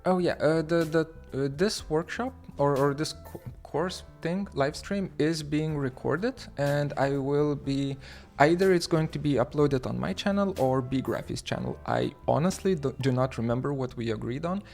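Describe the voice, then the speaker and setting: A man from Lithuania hosting a livestream, talking haltingly with many fillers and restarts as he reads the chat aloud. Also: a little high, gravelly, a little mellow, faint music behind. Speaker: livestream host.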